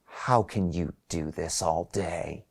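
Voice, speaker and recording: A man voicing an indignant, desperate older man with a blustering, halting delivery in a short story. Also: low-pitched, vocal fry, husky, voice pushed down, full character voice. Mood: blustering, upset. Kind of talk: acted short story dialogue.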